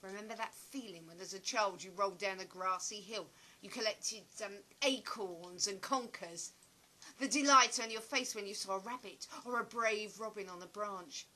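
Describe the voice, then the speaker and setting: A woman playing a chatty, opinionated, nostalgic stage actress in an audio play, with a dramatic, expressive storytelling manner. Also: mid-range voice, moderate pace, slight background noise, natural voice, rough recording. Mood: nostalgic, earnest.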